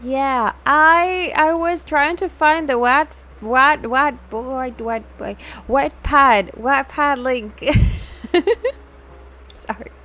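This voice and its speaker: A woman with a high voice hosts a casual livestream, talking directly to her viewers. Her delivery is scattered and unscripted, with repeated words and restarted phrases, and she moves freely between two languages. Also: very slow, bright, forceful tone. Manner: switches between English and Hindi mid-talk. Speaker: livestream host, VTuber.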